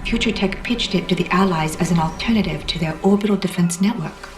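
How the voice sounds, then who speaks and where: A woman's voice: on the low side, brisk, recorded from a distance, noisy background, natural voice. An actress playing an angry young psychic woman in a video game, with a cold, defiant, brooding manner. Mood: cool, resentful. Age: young adult. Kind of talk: scripted video game voice acting. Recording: game audio under prominent music.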